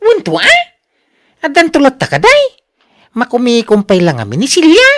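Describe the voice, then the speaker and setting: A woman's voice: high voice, huge pitch swings, moderate pace, heavily acted. Woman acting an eager, swooping young bachelor Edgar. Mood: eager, evasive. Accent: Ilocano. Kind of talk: Ilocano radio drama acting.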